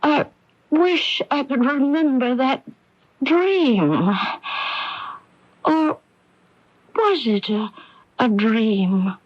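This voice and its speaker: An actress playing a frail, anxious but quietly relentless middle-aged sister in a radio mystery, speaking deliberately on a radio broadcast recording. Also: female voice, sits high, lively intonation, muffled recording, heavily acted. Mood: frightened, dazed. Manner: halting, repeats words when anxious, deliberate. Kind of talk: old-time radio mystery drama acting.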